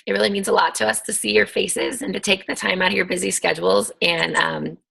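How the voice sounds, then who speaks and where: A woman's voice: medium pitch, steady tone, a little fast, creaky, pushed and bright, husky. Scratchy-voiced woman coaching on a call. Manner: encouraging, chatty, many ums and likes. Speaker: team coach hosting a call. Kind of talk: casual motivational team call.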